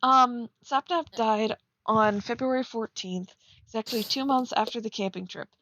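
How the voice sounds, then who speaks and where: A woman's voice: fairly high pitch, normal speed, fairly bright tone, slight background noise, poor audio. A woman chatting casually on a stream as she reads aloud and critiques, teasing and blunt with sarcastic asides. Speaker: streamer reading and critiquing fan fiction. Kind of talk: casual live commentary mixed with reading aloud.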